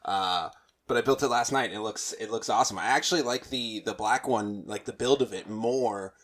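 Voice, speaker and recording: A man's voice: fairly low pitch, brisk, a bit bright. A man in his twenties co-hosting a podcast in casual, jokey, self-deprecating chat. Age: 20s. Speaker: podcast co-host.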